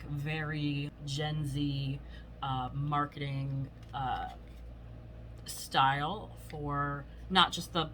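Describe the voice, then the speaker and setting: A hoarse woman chatting casually through a beauty product review, rambling with lots of fillers. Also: sits low, fairly bright tone, a little hiss or hum, poor audio. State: hoarse from illness. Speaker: beauty vlogger. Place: home.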